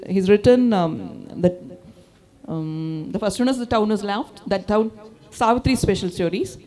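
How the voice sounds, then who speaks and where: A woman's voice: mid-range voice, darkish tone. A middle-aged woman on an outdoor stage moderating a literary panel into a handheld microphone, hesitant with many fillers.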